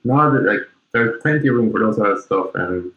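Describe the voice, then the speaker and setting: A low-voiced man hosts a casual interview chat. He talks in a loose, relaxed way and hedges often with fillers. The audio sounds dull and lo-fi. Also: brisk. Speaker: podcast host.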